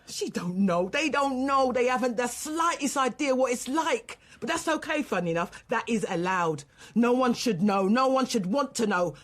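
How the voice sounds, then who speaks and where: A woman's voice: a little high, lively intonation, moderate pace. A defiant, grieving mother. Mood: bitter, scornful. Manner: defiant, colloquial, ranting. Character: angry, grieving mother under police questioning, ex-prisoner. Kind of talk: naturalistic radio drama acting.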